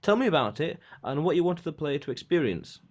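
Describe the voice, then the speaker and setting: A man's voice: a little high, sing-song, fairly quick. A man hosting a game developer podcast, reading his show intro and asking short questions with upbeat reactions. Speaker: podcast host. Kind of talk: scripted intro and casual interviewing.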